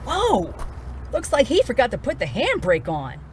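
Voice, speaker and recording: A woman's voice: on the high side, wildly swooping pitch, normal speed, music in the background. A woman playing a bold, firm wildlife rescue officer in an audio drama, speaking emphatically. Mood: urgent, brash.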